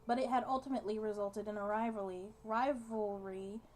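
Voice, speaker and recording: A woman's voice: medium pitch, steady tone, brisk, a little mellow, slight background noise. A woman reading a visual novel's narration aloud as part of a game playthrough. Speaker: gaming video co-host.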